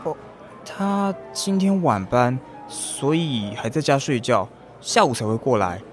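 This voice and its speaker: A kindly, easily flustered young man as acted in a radio drama, with a lively, swinging pitch. He speaks Taiwanese Mandarin a little hesitantly, with soft music underneath. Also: a little slow, close to an everyday voice. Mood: friendly. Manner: hesitant, stammers when flustered. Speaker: voice actor in an audio drama.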